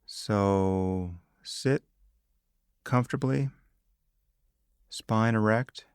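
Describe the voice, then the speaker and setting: A man guides a meditation in a low, gravelly voice. He speaks very slowly and calmly, with long pauses between phrases. The recording is dry and close, with no room sound. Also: no room sound. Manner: calm, measured instructions with long pauses.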